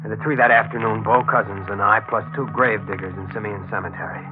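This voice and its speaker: A man acting as a haunted American newspaper reporter in a 1940s radio mystery. His voice sits fairly low, brooding and urgent in the old dramatic manner. The recording is thin and boxy, with music clearly behind him. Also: fairly even intonation, quick pace, narrow, tinny audio, lightly acted. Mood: brooding narration. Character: intense, haunted male newspaper reporter narrating his own story. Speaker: narrator and lead actor.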